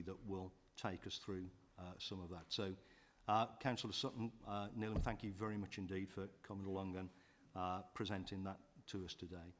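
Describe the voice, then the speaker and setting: A man chairing a council committee meeting, speaking haltingly and often pausing on fillers and restarts. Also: low-pitched.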